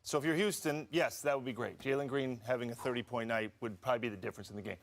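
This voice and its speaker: A man's voice: medium pitch, fast, gravelly. A man giving conversational, tactical basketball commentary as a studio analyst. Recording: broadcast with music behind.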